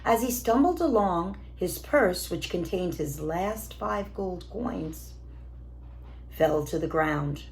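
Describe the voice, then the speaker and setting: Woman, teacher read-aloud, children's story narration, slow pace, lively intonation, expressive. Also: fairly low pitch, gravelly. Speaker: teacher reading to pupils.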